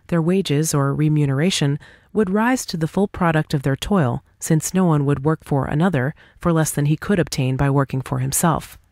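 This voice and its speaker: A woman's voice: low-pitched, darkish tone, no echo, natural voice. Woman reading as confident, articulate individualist debater, close dry sound. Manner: articulate, deliberate, rhetorical questioning. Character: confident, argumentative individualist debater, patient but pointed. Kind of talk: scripted dialogue reading for an audiobook.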